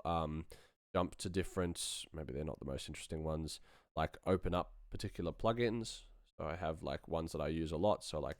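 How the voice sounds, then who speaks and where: A man giving an informal, self-deprecating tutorial on sound design software in casual, slangy language. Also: low-pitched, no echo, studio quality. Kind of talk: casual live-streamed software demo.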